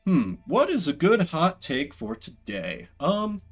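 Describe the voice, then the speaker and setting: A man's voice: a little high, huge pitch swings, slow, soft background music. A man giving casual live game commentary as a streamer, rambling with playful, self-deprecating asides.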